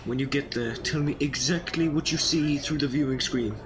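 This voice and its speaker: A man's voice: medium pitch, moderate pace, a crowd in the background, close to an everyday voice. Man playing a whimsical, urgent time-travelling eccentric. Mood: brisk, purposeful. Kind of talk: audio drama acting.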